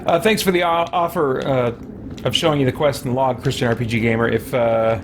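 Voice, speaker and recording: A man's voice: medium pitch, steady tone, brisk, noisy background. A man giving casual let's play commentary, muttering to himself as he plays. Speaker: gaming channel host. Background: music.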